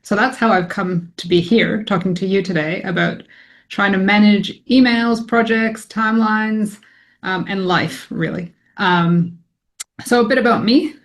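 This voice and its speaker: A woman's voice: mid-range voice, fairly even intonation, measured pace. A woman in her forties with a Canadian accent, an academic statistician giving a conversational presentation full of ums. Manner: conversational, frequent ums, self-deprecating. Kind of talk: informal presentation to colleagues.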